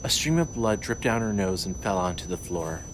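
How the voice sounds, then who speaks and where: A man's voice: low-pitched, expressive, moderate pace. A man narrating a scripted horror story as its storyteller. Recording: clearly noisy ambient background.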